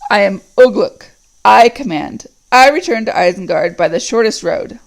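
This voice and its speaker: A woman's voice: animated, vocal fry, poor audio. Ugluk, a woman doing a growling orc chief. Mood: commanding. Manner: deep growl, slow and heavy. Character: huge male orc captain, commanding, brutal.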